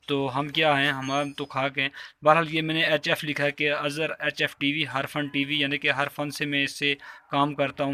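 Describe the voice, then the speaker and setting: Bright-voiced Pakistani man giving a tutorial. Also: fairly high pitch. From Azad Kashmir, Pakistan. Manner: polite, repetitive step-by-step explaining. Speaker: repair tutorial host. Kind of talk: informal hands-on tutorial narration. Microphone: handheld phone while working.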